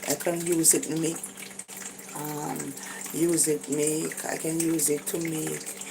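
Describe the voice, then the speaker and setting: A woman's voice: deep voice, little pitch movement, takes it slowly, creaky. A tired Jamaican woman narrating a casual cooking vlog in a small kitchen, hesitant, with many fillers and restarts. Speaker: cooking vlogger. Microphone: phone held above her. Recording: phone audio with kitchen noise.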